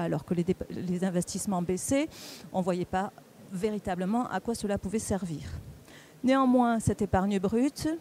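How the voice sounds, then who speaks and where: A speaker giving a public presentation at a round table, explaining didactically in a halting way, with repeats and self-corrections. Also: mid-range voice, dark tone, slight background noise. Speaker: conference speaker presenting on local government finances.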